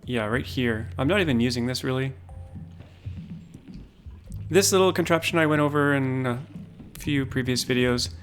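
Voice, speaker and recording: A man livestreams his game and talks it through in a calm, low-key way. He thinks aloud and often restarts his sentences. Faint music plays behind him. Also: normal speed, long pauses. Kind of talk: casual gameplay commentary.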